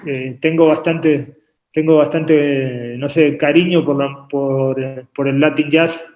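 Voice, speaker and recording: A man from Uruguay raised in Argentina, talking about his work as a painter on a video call, often pausing and repeating words as he thinks. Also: fairly high pitch, steady tone, darkish tone, muffled recording. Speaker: painter and artist guest. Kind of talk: relaxed interview conversation. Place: home painting studio. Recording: echoey room on a video call.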